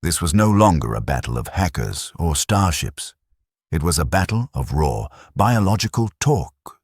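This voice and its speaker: A man narrating a science fiction audiobook in a grave, dramatic storytelling manner, with a husky voice. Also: low voice, sing-song, normal speed, no room sound, studio quality.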